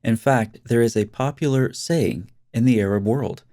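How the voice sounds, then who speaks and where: A man's voice: mid-range voice, normal speed, mellow, no room sound, clean studio sound. A man hosting a scripted commentary video, speaking in a sarcastic, mocking way.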